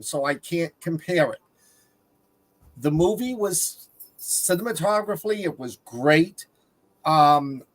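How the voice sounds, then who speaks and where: Higher-pitched man reviewing films casually. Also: fairly bright tone. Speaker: panelist on a movie review show. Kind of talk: casual movie discussion.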